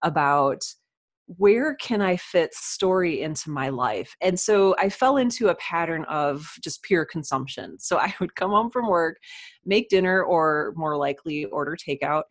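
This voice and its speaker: Low-voiced woman in a dry recording. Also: lively intonation, unhurried, no room sound. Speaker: interview guest, writer and podcaster. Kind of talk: casual personal storytelling in a podcast interview.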